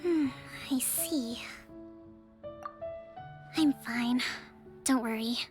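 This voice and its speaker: A woman voicing a young, calm strategist who leads an island, measured and soft-spoken. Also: on the high side, music in the background. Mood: composed. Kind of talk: video game voice acting.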